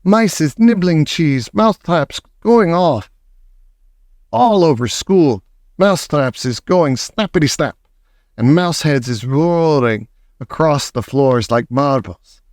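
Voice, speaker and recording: A man doing a shrill, gloating Grand High Witch. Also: animated, slow pace, dry recording, clean studio sound. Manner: broken grammar, shouting triumphantly. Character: gloating old female witch leader, screeching.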